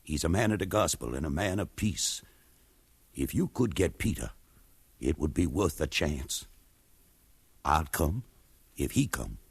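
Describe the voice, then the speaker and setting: Cautious uneasy foreman, old radio drama, deep n raspy, folksy and hesitant. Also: expressive, unhurried, pauses often, no room sound, heavily acted. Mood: cautious, weighing. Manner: guarded, hesitant, folksy Southern-style grammar. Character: wary, loyal slave foreman man, cautious and uneasy. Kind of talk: 1950s radio drama acting.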